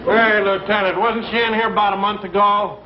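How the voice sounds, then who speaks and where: An American actor playing a matter-of-fact police officer in a 1950s comedy sketch. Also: male voice, high-pitched, fairly even intonation, brisk, natural voice. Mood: matter-of-fact, curious. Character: matter-of-fact male police officer reporting to his lieutenant. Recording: roomy, dull, noisy 1950s broadcast.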